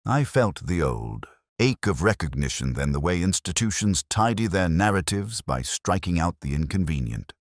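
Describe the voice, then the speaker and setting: Male narrator for detective fiction: extremely low voice, lively intonation, measured literary delivery, close dry sound. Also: no echo. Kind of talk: scripted first-person detective story narration.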